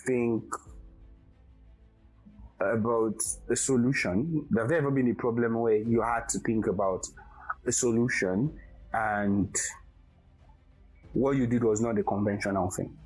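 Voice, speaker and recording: A host leads a casual interview, asking questions and adding short affirmations. The voice is husky and mid-pitched. Faint music plays underneath. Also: unhurried, long pauses, a little mellow, poor audio. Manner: brief affirmations, prompting questions.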